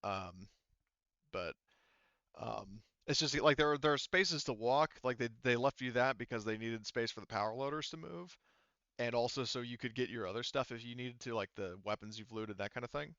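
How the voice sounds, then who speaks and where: A man running a tabletop roleplaying game, explaining casually and thinking out loud as he goes, often repeating himself. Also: mid-range voice, normal speed, dry recording, dull audio. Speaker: game master.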